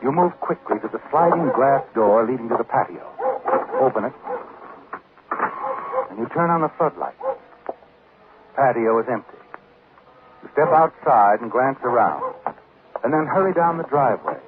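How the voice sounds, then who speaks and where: A man's voice: medium pitch, animated, normal speed, pauses often, close to an everyday voice. An actor playing an insinuating, suspenseful male narrator of a 1940s radio mystery. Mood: ominous, insinuating. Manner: insinuating, suspenseful second-person address. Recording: tinny, noisy 1940s radio recording.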